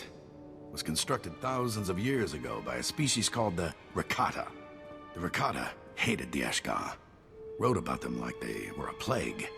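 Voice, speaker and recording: An actor playing a gruff, battle-weary general with a clipped, wry military delivery in a video game cutscene. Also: male voice, low-pitched, full character voice. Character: gruff, weary, wry male army general under siege.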